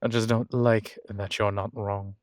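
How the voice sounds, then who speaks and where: A composed male college professor, played by a male voice actor in an intimate audio roleplay. His voice sits fairly low and moves at a very slow, measured pace, formal and dryly wry. The sound is close and very dry, with no room to it. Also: little pitch movement, no echo, lightly acted. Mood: amused, mildly scolding. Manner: measured, formal, hesitant politeness. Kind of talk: intimate scripted one-sided roleplay dialogue.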